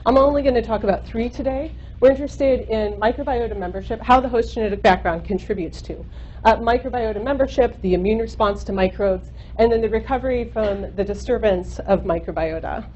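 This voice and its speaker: A woman in her thirties with a loud voice, giving a university seminar lecture into a microphone in a lecture room, chatty with frequent ums and uhs. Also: a little high, brisk. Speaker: guest speaker, microbiologist. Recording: muffled recording with faint hum.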